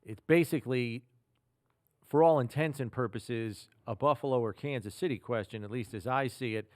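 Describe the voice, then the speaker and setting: A man hosting a sports podcast, explaining football scenarios in a measured, deliberate way. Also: mid-range voice, darkish tone, no echo, studio quality. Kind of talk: football analysis talk.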